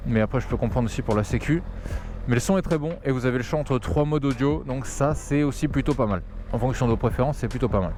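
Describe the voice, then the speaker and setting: A man's voice: medium pitch, fast, darkish tone. A man giving a casual, slangy, chatty gear review while riding a motorcycle, speaking into a helmet intercom microphone. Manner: informal, slangy, chatty, occasional swearing. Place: outdoors, riding a motorcycle in traffic. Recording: road and vehicle noise behind voice.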